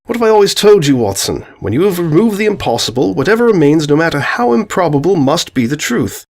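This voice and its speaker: A man's voice: fairly high pitch, very animated, fast, pitched above the performer's own voice. A man voicing a self-assured, theatrical detective with formal, declamatory delivery in a narrated story. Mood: confident.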